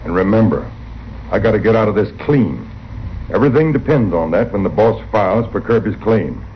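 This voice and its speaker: A man's voice: lowish voice, big pitch swings, fairly quick. A man playing a cold, scheming western outlaw who speaks in terse, menacing orders, in old-time radio style. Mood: warning. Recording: dull, hissy old radio recording.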